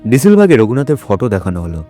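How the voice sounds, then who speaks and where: A man's voice: medium pitch, sing-song, fast, dark tone, faint music behind. A man narrating a story for an audio drama in a scripted, dramatic storytelling style.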